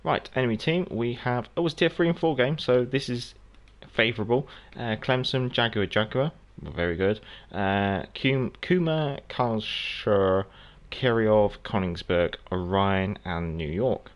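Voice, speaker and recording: A man's voice: fairly low pitch, measured pace. A South African man whose first language is Afrikaans, hosting a game livestream and chatting casually with viewers while he plays. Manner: chatty, reads out and answers viewers by name. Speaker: gaming livestream host. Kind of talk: casual livestream commentary while playing, answering chat.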